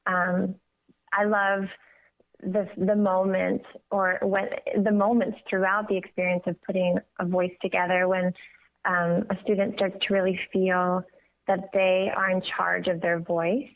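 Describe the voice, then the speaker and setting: A woman's voice: on the low side, level tone, unhurried. A woman speaking earnestly as an interview guest, explaining her work as a voice coach over a phone. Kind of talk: conversational radio interview. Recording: tinny, narrow phone line.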